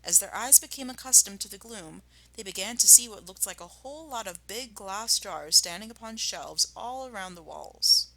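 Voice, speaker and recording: A woman's voice: mid-range voice, animated, normal speed, few pauses, bright, forceful tone, poor audio. A woman primary school teacher reading a children's story expressively and addressing her pupils. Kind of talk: expressive children's storybook read-aloud and lesson.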